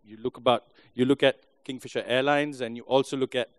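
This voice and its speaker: A man's voice: medium pitch, fairly even intonation, a little fast, clear, resonant tone, no echo. A man from India with an Indian accent, an audience member asking a question hesitantly, with fillers and restarts.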